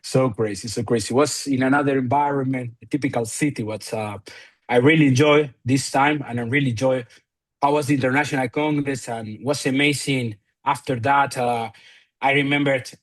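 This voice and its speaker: A man's voice: medium pitch, fairly even intonation. A man from Argentina in his late thirties with an Argentine Spanish accent, a physiotherapist speaking English as a second language with many fillers and restarts in a podcast interview. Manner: halting non-native English with frequent fillers and restarts. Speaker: physiotherapist and researcher guest.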